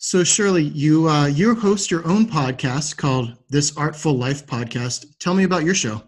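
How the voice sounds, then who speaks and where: A man's voice: fairly high pitch, moderate pace. A man hosting a conversational podcast interview, speaking in a supportive, slightly hesitant way.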